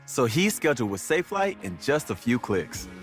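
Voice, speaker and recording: A man's voice: medium pitch, big pitch swings, normal speed, faint music behind. A man narrating a scripted commercial. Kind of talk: scripted advertisement.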